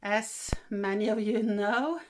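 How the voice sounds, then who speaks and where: Slow-talking older Norwegian woman. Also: mid-range voice, a little hiss or hum. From Norway. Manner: gentle, unhurried, with frequent hesitations. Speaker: vlogger. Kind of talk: casual vlog narration.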